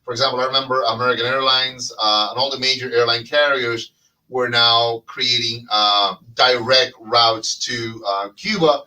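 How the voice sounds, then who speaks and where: A man's voice: medium pitch, steady tone, normal speed, sharp tone, distant mic, rough recording. A man hosting a casual chat, talking in a rambling way with many fillers and restarts. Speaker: podcast host.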